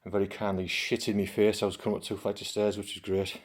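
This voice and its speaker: A middle-aged man with a croaky voice from a cold, chatting casually in a home vlog as he works and comments on it. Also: fairly low pitch, flat delivery, fast. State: hoarse from a cold. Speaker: gaming channel host.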